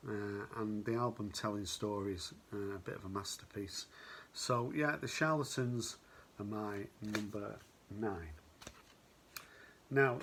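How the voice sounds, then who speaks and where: A middle-aged man with a mild Manchester accent and a lowish voice talks at home in a loose, casual way. He wanders off topic, stacking up "um"s and "you know"s. A faint hiss sits under his voice. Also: fairly bright tone. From Manchester, England. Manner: rambling, with many "um"s and "you know"s. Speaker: vinyl record channel host. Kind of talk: casual chat presenting a countdown.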